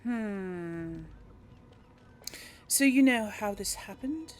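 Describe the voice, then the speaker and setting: A woman's voice: mid-range voice, expressive, extremely slow, a little hiss or hum. A woman doing casual game commentary and role-playing dialogue choices, musing aloud with little hums. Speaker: gamer narrating a role play series.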